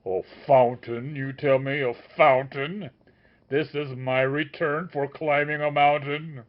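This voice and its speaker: A man voicing an obsessive male seeker of truth who shouts a scornful tirade, on a dull lo-fi recording. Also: fairly high pitch, fairly even intonation, takes it slowly. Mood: furious.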